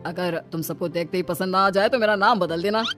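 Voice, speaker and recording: A woman's voice: lowish voice, fairly quick, fairly bright tone, faint music behind, close to an everyday voice. A woman voicing a genial older brother-in-law in a cartoon story, familiar and persuasive.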